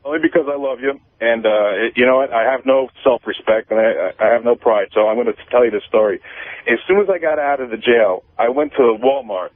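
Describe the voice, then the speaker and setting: A man talks over a thin, tinny phone line as a caller on a radio show. He tells personal stories casually and rambles, doubling back on his words and swearing. His pitch is ordinary for a man. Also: brisk, no room sound. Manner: rambling, profane, repeats himself.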